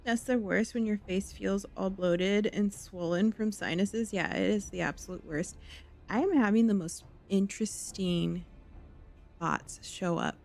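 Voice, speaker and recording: A woman's voice: medium pitch, a little slow, vocal fry, a little mellow. A woman chatting casually while livestreaming a game, talking off the cuff to viewers. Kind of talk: casual chat while gaming. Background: game music.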